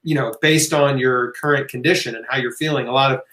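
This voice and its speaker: A man's voice: medium pitch, fairly even intonation, a little fast, a bit bright. A man hosting a casual live question-and-answer talk, speaking informally and emphatically, encouraging listeners and repeating key phrases. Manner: informal, conversational, checks in with "right?" and "okay?". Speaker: host of a live health Q&A. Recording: roomy, echoing room sound.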